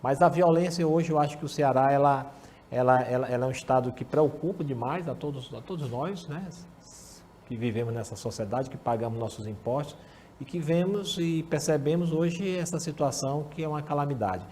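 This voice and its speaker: A man's voice: on the high side, brisk, darkish tone. A man, a professor giving emphatic political commentary on a talk show, often repeating words as he builds his point.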